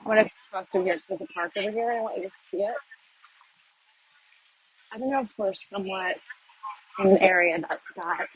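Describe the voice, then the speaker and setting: A woman's voice: mid-range voice, normal speed, pauses often, poor audio. A middle-aged woman from the United States, rambling and thinking aloud as she films a casual walking vlog outdoors on city streets. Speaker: vlogger. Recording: faint street noise behind.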